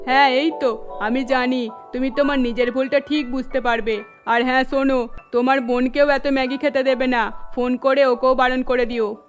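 A woman's voice: sits high, steady tone, normal speed, dull audio, voice pushed down, lightly acted. A woman voicing a scolding but caring middle-aged mother-in-law in a children's cartoon, firm and motherly. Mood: approving, instructing. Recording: music bed under the voice.

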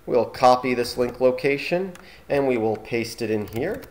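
A man hosting an informal screencast tutorial, talking haltingly as he thinks aloud through each step. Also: medium pitch, animated. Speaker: tutorial host.

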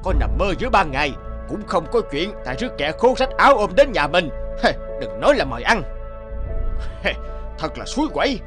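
Haughty, blustering rich bully. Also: male voice, high-pitched, sing-song, a little fast, music in the background. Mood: outraged scorn. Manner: scornful, blustering, barking orders. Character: haughty, bullying, superstitious rich man, middle-aged. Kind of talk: Vietnamese fairy-tale cartoon dubbing.

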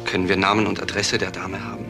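An actor playing a precise, factual police investigator in a crime radio drama. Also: male voice, sits low, level tone, fast, creaky, music in the background. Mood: factual, pressing. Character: male junior police investigator, brisk and factual.

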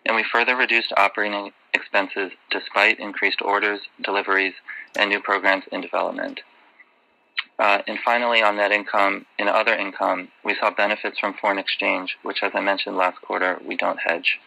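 A man delivering prepared earnings call remarks as a finance executive, in a formal, measured way. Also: on the low side, little pitch movement, vocal fry, pushed and bright, poor audio.

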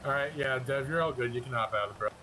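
Late-thirties male gamer, rapid offhand talk, noisy voice-chat line. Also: mid-range voice, bright, forceful tone. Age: 30s. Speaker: fellow player. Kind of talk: casual gaming chat over voice comms.

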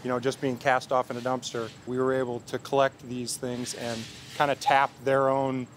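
A man speaks in a relaxed, matter-of-fact way with very little rise and fall in his voice. faint outdoor noise hums behind him. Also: medium pitch, moderate pace. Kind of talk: documentary interview.

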